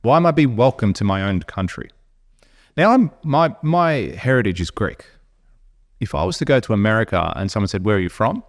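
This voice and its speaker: An Australian man co-hosting a podcast, talking bluntly in an animated, opinionated way, with frequent restarts. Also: fairly low pitch, brisk. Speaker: podcast co-host. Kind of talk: casual opinionated chat.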